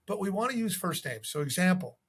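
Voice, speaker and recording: A man's voice: high-pitched, sing-song, brisk, fairly bright tone, husky. A man giving instructional sales tips as a coach, in a conversational manner. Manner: conversational, acts out sample calls. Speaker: real estate sales coach.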